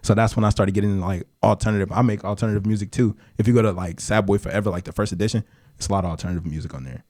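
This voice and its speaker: A young man with a very low voice talks as a podcast guest. His delivery is relaxed and slangy, full of fillers as he rambles about music. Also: racing. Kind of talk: casual podcast chat.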